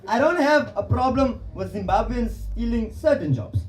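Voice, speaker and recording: A man's voice: very high-pitched, expressive, moderate pace. A South African man doing stand-up, teasing the crowd with punchy jokes. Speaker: stand-up comedian. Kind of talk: stand-up comedy set. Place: comedy club stage with live audience. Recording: echoey club, loud crowd laughter. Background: crowd laughing.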